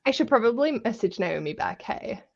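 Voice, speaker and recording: A woman co-hosting a casual group livestream, giving short, low-key replies. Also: lively intonation, fairly quick, creaky, a little mellow, highs cut off.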